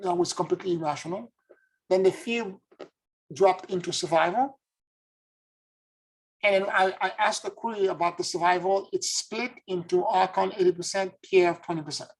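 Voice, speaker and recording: A man's voice: high-pitched, poor audio. A man from Haiti, a spiritual teacher, giving an earnest, introspective monologue and searching for his words as he goes. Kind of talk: reflective spoken monologue.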